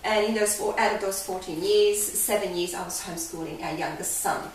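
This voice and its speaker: An older woman with a Hungarian accent talks earnestly about her coaching work, with a mid-range pitch. She sounds distant from the mic in a very echoey room. A faint hiss sits underneath. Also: fairly quick. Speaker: life coach guest. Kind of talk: candid interview.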